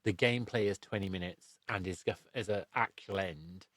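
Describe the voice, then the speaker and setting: A man's voice: sits low, moderate pace, a bit bright, no echo. A man hosting a podcast, chatting casually in a rambling, reflective way. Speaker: podcast host and interviewer. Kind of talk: casual interview chat.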